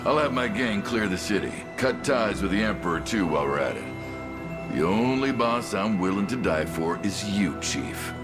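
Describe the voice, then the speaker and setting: A man's voice: deep voice, big pitch swings, normal speed, over loud music. A man playing a wry, roguish mercenary boss in a video game, talking in folksy slang full of bravado. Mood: frank, confident. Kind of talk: video game cutscene voice acting.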